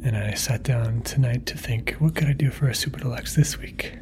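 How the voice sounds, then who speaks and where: A man introducing a bedtime podcast episode in a hushed, unhurried manner. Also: medium pitch, background noise.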